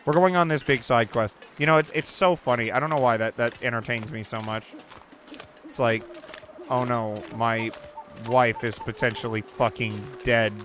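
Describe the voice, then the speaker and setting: A young man giving casual, chatty commentary over a survival game, reacting out loud and swearing now and then. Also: medium pitch, moderate pace, slight background noise. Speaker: gaming channel host. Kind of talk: casual let's-play commentary. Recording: faint game ambience behind.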